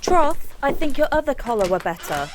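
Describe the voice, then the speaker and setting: An actress playing a saucy young maid with a quick, teasing wit in a Shakespeare radio play. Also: female voice, high voice, very animated, noisy background. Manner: playful, bantering.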